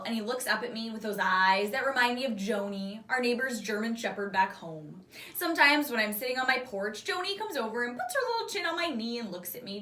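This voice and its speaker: A woman reads a children's book aloud with the expressive delivery of a teacher. Her voice sits fairly high and moves around animatedly. It is recorded from some distance in an echoey room. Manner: animated, acts out the dialogue. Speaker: fifth grade teacher reading aloud. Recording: roomy echo, distant microphone.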